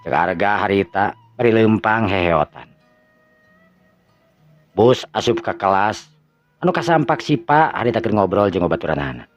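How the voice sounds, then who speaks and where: Deep-voiced Sundanese male storyteller. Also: animated, dry recording. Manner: slow drawn-out narration with stumbles. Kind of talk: Sundanese folk tale narration. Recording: dull lo-fi audio with faint music.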